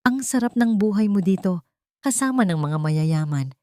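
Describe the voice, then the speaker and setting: A woman voicing a belligerent, bitter drunken husband with a Filipino accent in an audio drama, loud and taunting. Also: mid-range voice, expressive, normal speed, no room sound, clean studio sound, natural voice. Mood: bitter demanding.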